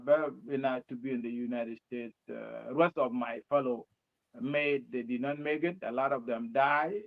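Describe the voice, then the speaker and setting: A man with a South Sudanese accent, speaking English learned later in life, answering interview questions in a halting way with many restarts. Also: mid-range voice, vocal fry. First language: his mother tongue and Arabic. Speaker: guest, presidential candidate. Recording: dull lo-fi sound.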